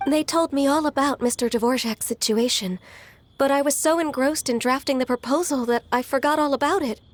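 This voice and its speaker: A woman playing a gentle, polite and earnest young half-human secretary in an anime video game. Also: high voice, a little fast, soft background music, close to an everyday voice. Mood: apologetic.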